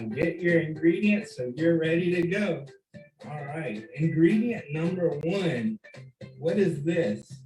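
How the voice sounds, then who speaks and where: A man hosting for young children, explaining simple steps directly to the viewer with questions and pauses for them to answer. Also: on the high side, darkish tone. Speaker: children's story time host. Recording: roomy echo.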